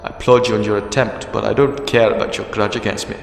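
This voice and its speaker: A man's voice: lowish voice, fairly even intonation, fast, gravelly. A man playing a sardonic, argumentative time-travelling hero in a sci-fi fan audio drama, defiant in temper. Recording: echoey reverberant hall, music underneath.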